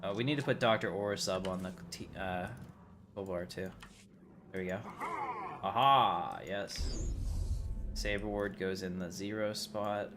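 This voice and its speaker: A man with a fairly low voice hosts a gaming livestream. He talks casually and rambles, thinking aloud as he plays. Clear background noise sits behind his voice. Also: steady tone, measured pace, pauses often. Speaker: livestream host.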